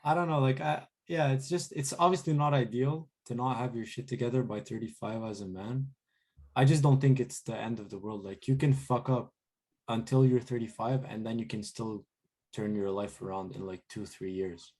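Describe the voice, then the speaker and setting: Casting: young male podcast co-host, average pitch, blunt and slangy, off-the-cuff delivery. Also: fairly even intonation, normal speed, a little mellow. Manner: blunt, slangy, mild swearing. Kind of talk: casual chat.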